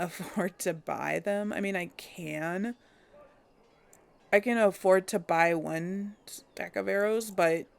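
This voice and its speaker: A woman's voice: medium pitch, creaky. A woman chatting casually while she plays a role-playing game, thinking aloud and laughing often. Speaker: game role-play host. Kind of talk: casual let's-play commentary.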